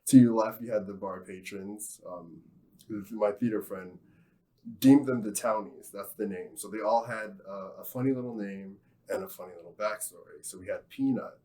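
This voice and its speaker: A man's voice: fairly low pitch, moderate pace, mellow. A young man telling an anecdote casually, in a chatty, jokey way. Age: young adult. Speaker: student guest, fiction genre editor.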